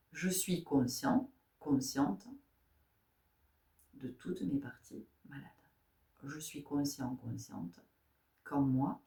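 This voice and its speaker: A woman's voice: sits low, dark tone, far from the mic. A woman giving a spiritual tarot guidance talk, asking rhetorical questions, repeating phrases and often checking in with her listeners. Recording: echoey room.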